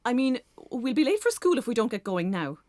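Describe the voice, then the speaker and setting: High-voiced harried mother. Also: very animated, brisk, a little mellow, no echo, clean studio sound. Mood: flustered. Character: harried, anxious mother hurrying her daughters. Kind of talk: children's audio drama acting.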